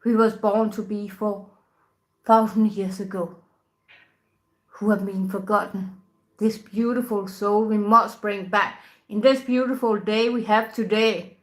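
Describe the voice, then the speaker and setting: Lady tantra teacher, bright tone, gentle chatty talk, laughs a lot. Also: medium pitch, low-quality recording. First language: Danish. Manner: soft-spoken, unhurried, laughs often, non-native grammar. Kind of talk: casual spoken talk to camera.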